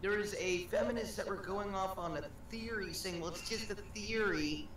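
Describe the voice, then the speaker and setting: High-pitched man in an echoey room. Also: normal speed, a bit bright. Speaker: live-stream panelist. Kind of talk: casual chat. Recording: very echoey, distant mic, faint noise.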